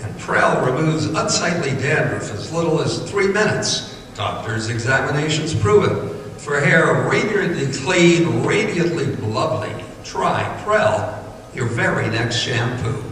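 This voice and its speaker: A man's voice: fairly high pitch, animated, unhurried, a bit bright. A man with an American accent doing old-time radio announcing and ad reading. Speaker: announcer and Foley artist. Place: performing arts center stage. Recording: echoey hall, distant mic, faint music.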